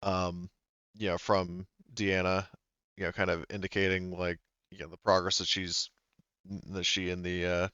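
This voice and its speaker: A man's voice: low-pitched, little pitch movement, moderate pace, pushed and bright, clear, resonant tone, no room sound, highs cut off. A man running a tabletop game on a voice call, rambling and full of fillers and restarts. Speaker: game master.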